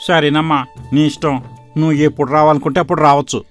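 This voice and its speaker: Man playing dutiful grown son, very rapid speech, mid-pitched, storybook drama, faint music. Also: level tone, natural voice. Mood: respectful, agreeable. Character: dutiful adult son of village widow.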